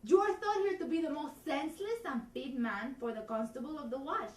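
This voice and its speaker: A woman's voice: mid-range voice, very animated, moderate pace, darkish tone, lightly acted. Woman playing a pompous comic constable, roomy sound. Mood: pompous, emphatic. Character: comically pompous, self-important constable putting on a funny accent. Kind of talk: Shakespearean comedy, theatre audition reading. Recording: roomy, distant, noisy room recording.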